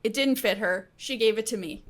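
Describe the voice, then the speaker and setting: A woman's voice: a little high, brisk. A woman co-hosting a podcast in casual, humorous chat with a giggly manner. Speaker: podcast co-host.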